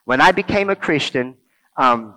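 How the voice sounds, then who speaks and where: A man's voice: mid-range voice, lively intonation, moderate pace, bright, forceful tone, rough recording. Brazilian man giving a casual church talk. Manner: conversational, checks in with listeners often. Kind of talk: informal teaching talk to a group.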